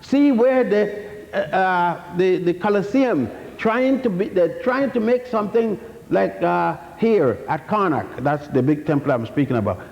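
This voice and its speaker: A man's voice: high-pitched, animated, a little slow, creaky, darkish tone. Older man lecturing in an echoey hall. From Ethiopia. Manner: blunt, defiant, rambling with many restarts. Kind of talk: public history lecture. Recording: echoey hall, dull lo-fi sound.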